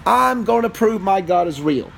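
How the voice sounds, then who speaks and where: A man's voice: sits high, lively intonation, normal speed, vocal fry, fairly bright tone, a little hiss or hum, voice pushed up, exaggerated voice. Man playing a bold, taunting prophet, raised voice. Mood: defiant. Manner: declamatory, teasing, challenging. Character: bold, taunting Old Testament prophet challenging a king.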